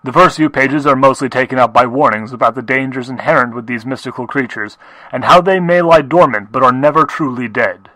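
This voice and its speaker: A muffled old-record voice of an older pony stallion , acted by a man. He is scholarly and measured, with a slight upper-class accent. The sound is dry, with a middling male pitch, as he reads grave research notes in an audio drama. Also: bright, forceful tone, dry recording. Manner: formal, measured, pedantic. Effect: muffled old record playback.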